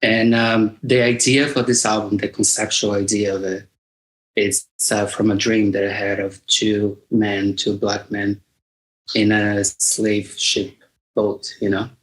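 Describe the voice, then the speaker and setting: Low-voiced Brazilian man, reflective. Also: flat delivery, measured pace, poor audio. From Bahia, Brazil. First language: Portuguese. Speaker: guest singer-songwriter. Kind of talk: conversational interview.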